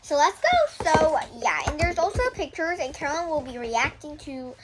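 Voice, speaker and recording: A woman's voice: high-pitched, very animated, normal speed, heavy background noise. A young girl hosting a casual read-aloud of a children's book, often breaking off to explain things to viewers in chatty asides.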